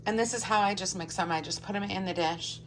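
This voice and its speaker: A woman chatting casually to her camera as a home vlogger, a bit stuffy-nosed, rambling along with soft asides. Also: mid-range voice, quick pace, a little hiss or hum, rough recording. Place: kitchen at home, indoors.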